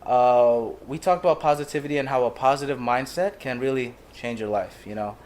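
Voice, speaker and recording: A man's voice: medium pitch, brisk, a little mellow, a little hiss or hum. A young man hosting a podcast in a casual, jokey way, often calling his guest "bro". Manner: laid-back, jokey, calls guest "bro". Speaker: podcast host. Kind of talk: casual chat.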